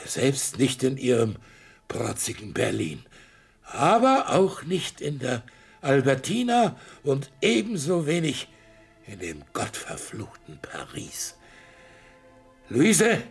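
An actor playing a proud, bitter old blind art collector in a radio play, who swings from boisterous scorn to hushed intensity. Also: male voice, mid-range voice, huge pitch swings, slow pace, gravelly, slightly rough, faint music behind, heavily acted. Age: older.

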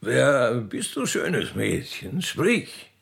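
Man acting a gravelly, lordly old Austrian emperor. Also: mid-range voice, wildly swooping pitch, very slow, full character voice. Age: older. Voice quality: gravelly, husky. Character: genteel old emperor, condescending, amused and kindly. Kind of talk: radio play character acting.

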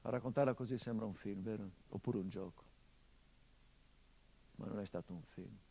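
A middle-aged actor playing a grieving son in a theatrical monologue, with bitter irony that swings from loud outbursts to hushed low tones. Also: male voice, normal speed, vocal fry, slightly rough. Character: grieving son of a murdered man, bitter, haunted. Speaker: stage actor.

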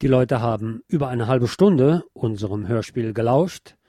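Man presenting with a husky voice. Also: medium pitch, expressive, normal speed, mellow, dry recording, studio quality. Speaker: radio host. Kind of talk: scripted narration, cultural report.

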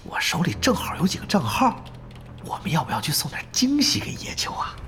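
A sly, fawning guild lackey. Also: male voice, sits high, wildly swooping pitch, fairly quick, fairly bright tone, heavily acted. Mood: scheming. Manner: fawning, scheming, half-whispered. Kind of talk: audio drama acting.

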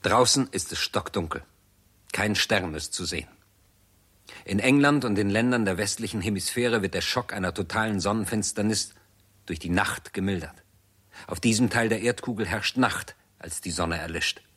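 An actor narrating a radio drama as a grave chronicler, in a measured, sober, matter-of-fact manner. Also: male voice, on the low side, fairly bright tone, husky. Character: grave male chronicler of a world catastrophe.

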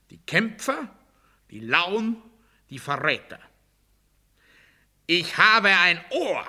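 A delusional male demagogue speaking from a cell in a radio drama. The voice sits high and is extremely sharp and forceful. He declaims with commanding rhetoric, moving between hushed muttering and loud oratory. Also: exaggerated voice. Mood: measured, ominous. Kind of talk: German radio play monologue.

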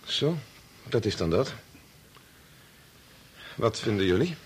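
A man's voice: fairly low pitch, very animated, slow, very noisy. An actor in a Dutch radio play, playing a bitter, impatient young man who argues and stammers when thrown. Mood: probing. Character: bitter, impatient son working on his father's medical experiment.

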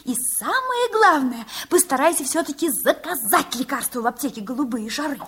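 A wise old horse who is still a girl at heart, with a very high voice. The manner is folksy and resigned, full of instruction, as in a fairy-tale radio drama. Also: animated, moderate pace, a bit bright. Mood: resigned, advising.

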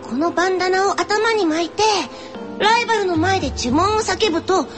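A woman's voice: extremely high pitch, moderate pace, loud music behind the voice. A woman voicing a cutesy, childlike magical bandana with babyish lisped consonants and a sing-song mascot delivery in an anime radio comedy. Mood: perky, cute. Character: cutesy childlike magical talking bandana, female, cheerfully bossy.

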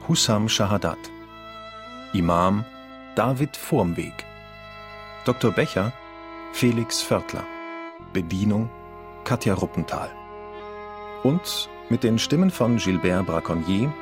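A male announcer with a fairly low voice reads scripted credits very slowly. He is formal and measured, with clear music playing behind him. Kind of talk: scripted radio drama credits.

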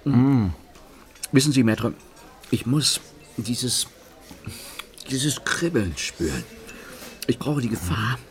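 Ein Schauspieler spielt in einem Hörspiel einen leidenschaftlichen, gefahrensüchtigen Gentleman-Dieb. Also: medium pitch, animated, takes it slowly, long pauses. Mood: passionate. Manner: passionate, savoring words. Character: thrill-seeking gentleman thief, exuberant. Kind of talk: radio play acting.

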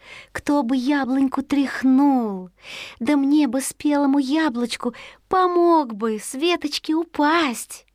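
A woman as a high, wistful talking apple. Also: slow pace, no echo, studio quality. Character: ripe apple, bored and wistful, in a folk tale.

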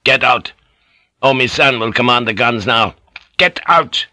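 A man's voice: mid-range voice, fairly even intonation, moderate pace, bright, forceful tone, no room sound. A man doing a scornful, hot-tempered feudal lord. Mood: furious, contemptuous. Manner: scornful and cutting.

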